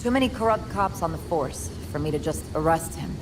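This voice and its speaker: A jaded, sarcastic police detective. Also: female voice, low voice, lively intonation, brisk, music in the background. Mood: grim. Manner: dry, sarcastic, world-weary. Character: weary, sardonic woman police detective, middle-aged. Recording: noisy game cutscene audio.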